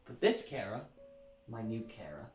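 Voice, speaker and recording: An actor playing a showy, self-satisfied celebrity musician, delivering a gushing, theatrical sales pitch with dramatic emphasis. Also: male voice, sing-song, normal speed, darkish tone. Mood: indignant, smug. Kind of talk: theatrical infomercial-style pitch in an audio drama. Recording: roomy echo, music underneath.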